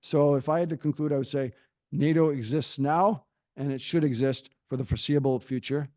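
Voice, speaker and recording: A middle-aged man, a professor, giving a prepared argument at a panel in a measured way, pausing often with fillers. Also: a little high, steady tone, a little mellow, no room sound, studio quality. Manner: measured, pauses with frequent fillers.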